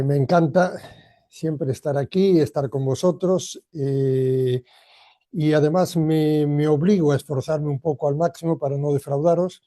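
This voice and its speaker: A man's voice: on the high side, dark tone, dry recording, studio quality. A man gives a motivational training talk in a reflective, personal way, addressing listeners directly. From Argentina, emigrated to Spain as a boy.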